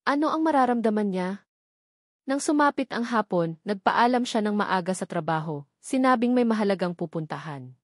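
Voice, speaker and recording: Female audiobook narrator for serialized drama. Measured, solemn storytelling, mid-range voice, dry recording. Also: medium pitch, darkish tone, no echo.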